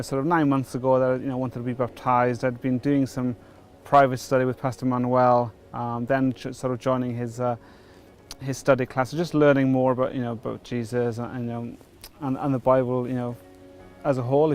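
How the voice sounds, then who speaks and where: Welsh man, middle-aged, even tone, hesitant testimony, soft music underneath. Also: mid-range voice, a little fast. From Wales. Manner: hesitant, many fillers and restarts. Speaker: church member before baptism. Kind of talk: testimony interview.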